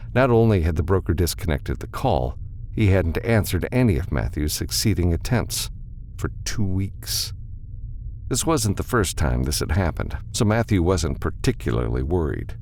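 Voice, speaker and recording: A man narrating fiction as an audiobook reader, with a gravelly voice and a dramatic delivery. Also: deep voice, darkish tone, slight background noise.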